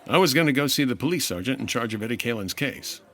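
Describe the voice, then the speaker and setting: A man's voice: mid-range voice, animated, quick pace, a bit bright, soft background music. A man playing a patient, wry 1950s insurance investigator in old-time radio detective style, dry and understated. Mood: measured, reluctant. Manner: dry, understated, world-weary.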